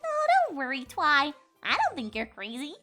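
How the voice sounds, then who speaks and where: A woman voicing a goofy, excitable female cartoon pony with a chirpy, exaggerated delivery in a comedic reading. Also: sits high, moderate pace, faint music behind, heavily acted. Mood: excited. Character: bubbly, goofy pink cartoon mare, scatterbrained and excitable. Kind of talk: acted comedic fan fiction reading.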